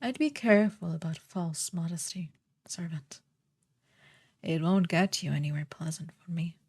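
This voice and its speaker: Evil sorceress queen, played by a woman: lowish, mellow and breathy, unhurried, haughty and ruthless, for fantasy ASMR roleplay. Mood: smug, threatening. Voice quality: soft, breathy, partly whispered. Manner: slow, condescending, commanding.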